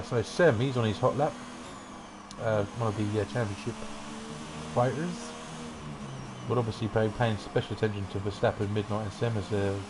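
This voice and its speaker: A man giving relaxed, rambling commentary on an online racing qualifying session. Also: fairly low pitch, background noise. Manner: relaxed, rambling, self-deprecating asides. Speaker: race commentator.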